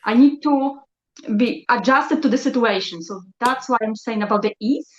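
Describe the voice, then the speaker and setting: Halting non-native woman, echoey lo-fi call. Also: a little high, slight background noise. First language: not English. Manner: searching for words, halting, reflective. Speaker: session participant. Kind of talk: sharing reflections in a group call.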